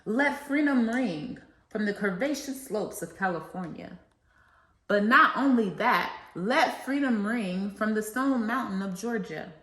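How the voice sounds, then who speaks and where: A woman's voice: mid-range voice, expressive, a little slow, fairly bright tone. A woman reading aloud and explaining for children, as an educator leading a read-along. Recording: echoey, reverberant room sound.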